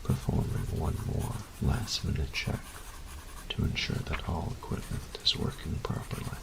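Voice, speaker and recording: A man playing a meticulous, reclusive artificer in an audio roleplay, dictating formally and precisely in a gravelly, breathy voice. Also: extremely low pitch, steady tone, normal speed, heavy background noise. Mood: formal, focused. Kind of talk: scripted audio roleplay acting.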